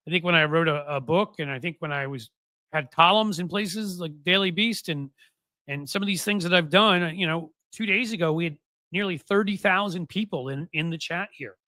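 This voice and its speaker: Chatty, bright-voiced man in his fifties. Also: fairly high pitch, moderate pace, no echo. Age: 50s. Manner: rambling, self-interrupting, wry asides. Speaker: political podcast host. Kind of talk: casual livestream talk to viewers.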